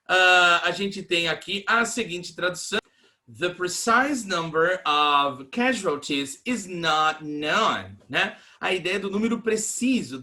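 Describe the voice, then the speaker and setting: A man's voice: sits high, sharp tone. A Brazilian man teaching English in a recorded online class, explaining translations patiently and often checking in with the students.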